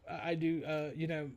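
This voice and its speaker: A man co-hosting a podcast in casual, bawdy chat, telling stories in a sassy, animated way. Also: a little high, muffled recording, slight background noise. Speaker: podcast co-host.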